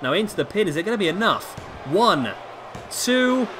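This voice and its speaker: A hoarse man with a sore throat giving casual play-by-play commentary as host of a wrestling game video. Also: high-pitched, sing-song, moderate pace. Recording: clearly noisy home recording.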